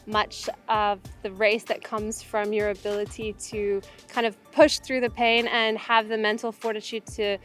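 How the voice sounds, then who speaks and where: A young woman athlete from Uganda, speaking in a relaxed, reflective way with casual fillers in a documentary interview. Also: medium pitch, measured pace, hardly any pauses, soft background music. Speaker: Olympic rower.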